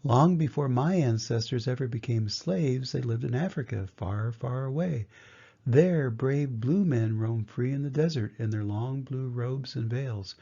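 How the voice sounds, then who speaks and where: A man's voice: medium pitch, measured pace, mellow. An older man voicing a kind, thoughtful bluesman from a storybook, solemn and reflective. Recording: dull, muffled lo-fi sound.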